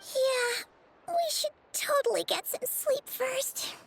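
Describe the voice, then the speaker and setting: A woman voicing a tiny, excitable, childlike fairy companion in a video game, perky and a little whiny. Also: extremely high pitch, slow, vocal fry, a little hiss or hum, exaggerated voice. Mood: tired, whiny. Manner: perky, whiny, speaks of herself in third person.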